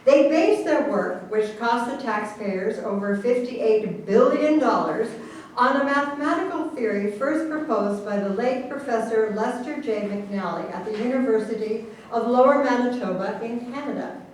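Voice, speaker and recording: Distant echoey recording, woman playing earnest government official, pompous lecturing, deliberate emphasis, staged satire. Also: a little high, darkish tone, close to an everyday voice. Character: government official earnestly explaining absurd secret science. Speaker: actor in a staged reading. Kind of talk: theatrical staged reading of a satirical play. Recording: very echoey room, distant mic, faint noise.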